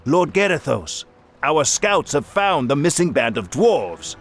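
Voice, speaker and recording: Brisk soldier messenger. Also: male voice, a little high, big pitch swings, loud music behind the voice. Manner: brisk report. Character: dutiful male soldier messenger.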